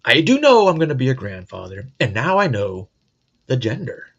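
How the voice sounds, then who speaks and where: A man's voice: mid-range voice, wildly swooping pitch, moderate pace, fairly bright tone, slightly rough, highs cut off. A middle-aged man hosting a casual monologue, blunt, profane and joking. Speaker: host.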